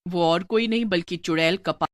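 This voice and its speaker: A woman's voice: fairly low pitch, moderate pace, no echo, studio quality. A woman narrator reading a scripted story in a dramatic storytelling style.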